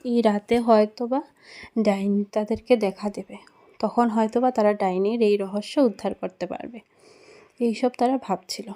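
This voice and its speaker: A woman's voice: medium pitch, steady tone, normal speed, warm tone. A woman narrating a children's cartoon story as a storyteller.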